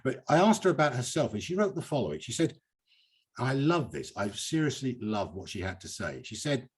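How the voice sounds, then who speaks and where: A man's voice: mid-range voice, sing-song, a little fast, slightly rough. A British man casually hosting a poetry reading over a video call, with an effusive, chatty manner.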